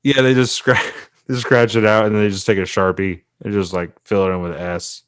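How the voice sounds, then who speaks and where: A man's voice: lowish voice, level tone, brisk, clear, resonant tone, dry recording, clean studio sound. A man co-hosting a comedy podcast, easygoing and rambling, trailing off into fillers. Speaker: podcast co-host. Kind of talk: casual podcast banter.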